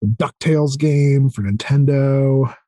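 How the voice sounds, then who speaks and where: A man's voice: mid-range voice, a little slow, mellow, no room sound, clean studio sound. A man in a casual interview chat as a podcast guest, thinking aloud hesitantly but with enthusiasm. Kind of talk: casual interview chat about video games.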